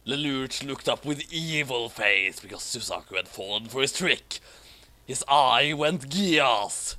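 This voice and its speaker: A man's voice: mid-range voice, animated, unhurried, sharp tone. A man reading fan fiction aloud for laughs, mock-dramatic and amused, breaking off to add his own comments.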